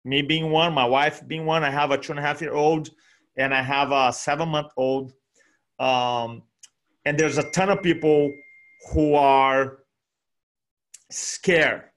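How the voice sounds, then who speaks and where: A man in his forties with a Brazilian Portuguese accent, speaking on a video call in an energetic, animated way and repeating phrases for emphasis. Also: on the high side, unhurried, pauses often. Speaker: guest speaker. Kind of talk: energetic motivational talk. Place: small room at home. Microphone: desk microphone on video call.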